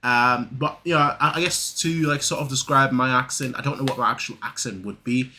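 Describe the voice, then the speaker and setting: Bright-voiced young man rambling casually. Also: mid-range voice, steady tone, a little fast, a little hiss or hum. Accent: northern English, Manchester and Lincoln, with a German trace. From south Germany. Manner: rambling, many fillers and restarts. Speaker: reaction video host. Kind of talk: casual reaction commentary.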